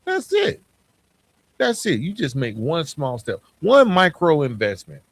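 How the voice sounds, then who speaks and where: Motivational male podcast host, dynamic pitch. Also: a bit bright, no room sound. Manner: earnest, emphatic, repeats phrases for emphasis; addresses listeners directly and informally. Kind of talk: motivational coaching monologue.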